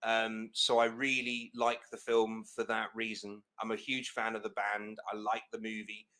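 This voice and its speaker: A man's voice: lowish voice, sharp tone. A young man hosting a casual film podcast, talking in an enthusiastic, rambling way with lots of fillers and restarts. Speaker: podcast host. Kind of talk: casual chat.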